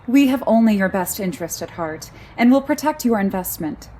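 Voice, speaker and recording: A woman playing a calm, rigid automated car computer in an audio play, speaking in formal, robotic announcements. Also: medium pitch, fairly quick, natural voice. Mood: calmly insistent.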